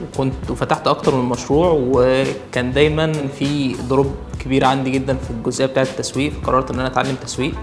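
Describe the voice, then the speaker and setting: A man's voice: mid-range voice, flat delivery, rapid-fire. A young man with an Egyptian accent, speaking matter-of-factly in a testimonial. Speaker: intern. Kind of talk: testimonial interview. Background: music.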